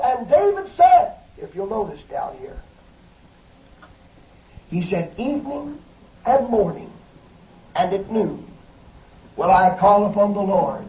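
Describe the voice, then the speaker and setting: High-pitched preacher guy shouting hallelujah, muffled old church tape. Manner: fervent, rising to shouts with frequent hallelujahs. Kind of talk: Pentecostal sermon. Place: church service. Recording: echoey dull lo-fi recording from a distance.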